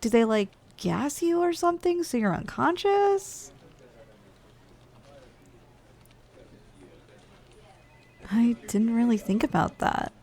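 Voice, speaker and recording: A woman's voice: a little high, very animated, moderate pace, darkish tone. A woman playing a weary, snack-craving giantess office worker in a chatty, teasing, conversational ASMR roleplay monologue. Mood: curious, musing.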